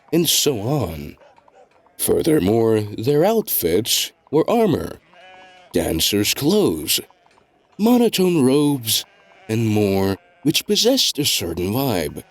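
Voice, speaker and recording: A man reading scripted story narration in a measured, deliberate storytelling delivery. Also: lowish voice. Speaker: narrator of a web novel fandub.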